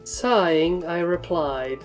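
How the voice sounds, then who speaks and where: A woman's voice: lowish voice, slow pace. A woman giving a scripted narration of a story. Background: background music.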